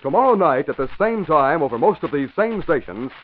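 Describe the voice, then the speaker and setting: A man's voice: fairly high pitch, very animated, fairly quick, faint music behind. An American man announcing and narrating in 1950s radio style, with polished, emphatic salesmanship. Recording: dull vintage radio broadcast.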